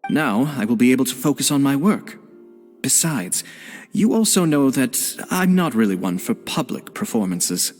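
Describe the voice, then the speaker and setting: A man voicing a composed, courteous young nobleman in a video game, with formal, measured and politely evasive phrasing. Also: medium pitch, sing-song, darkish tone, in a large hall. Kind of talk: polished video game voice acting. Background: music.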